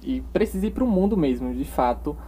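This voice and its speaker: A man's voice: on the high side, expressive, fairly quick, mellow, slight background noise. A young man from Paraíba with a Northeastern Brazilian accent, a podcast guest who talks proudly and stumbles over his words with many restarts. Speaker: interview guest, page owner. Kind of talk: casual podcast interview.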